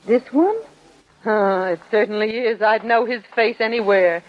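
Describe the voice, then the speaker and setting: A woman playing an excitable, fretful older storekeeper in a 1940s radio drama. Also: a little high, measured pace, close to an everyday voice. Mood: certain, indignant. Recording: dull old 1940s radio recording.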